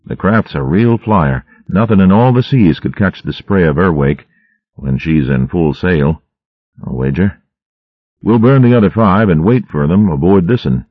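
A man's voice: deep voice, animated, unhurried, husky, dry recording, dull audio, full character voice. A man voicing a cheerful male shrew sailor with a folksy, rustic way of talking. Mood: admiring.

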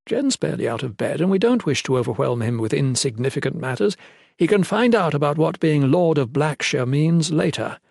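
Man acting regal matriarch, fantasy storybook, stern, fond, admonishing, formal, raised pitch, dry sound. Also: expressive, brisk, gravelly, a little mellow, no room sound. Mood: brisk, affectionate. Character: stern, affectionate matriarch, commanding mother of high rank.

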